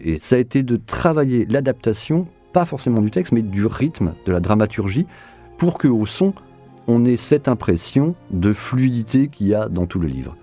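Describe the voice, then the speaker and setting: A man's voice: lowish voice, mellow. A man talking reflectively in an interview as a director, explaining and rephrasing with repeats for emphasis. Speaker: audio drama director being interviewed.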